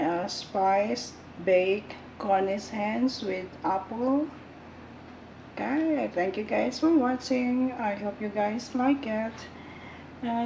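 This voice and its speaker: Slow-paced woman, casual home cook. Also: mid-range voice, a little hiss or hum, low-quality recording. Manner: informal, simple short phrases. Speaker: home cook hosting a cooking vlog. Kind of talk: casual cooking demonstration, talking to viewers. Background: background music.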